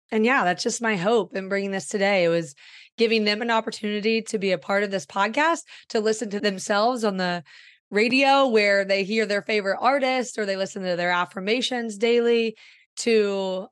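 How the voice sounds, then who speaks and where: A woman in her thirties talks in a casual, effusive podcast style, her voice raspy and hoarse because she is losing it. The sound is dry and close, with little room around it. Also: mid-range voice, moderate pace, no room sound. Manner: warm, effusive and upbeat. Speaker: podcast host and growth coach.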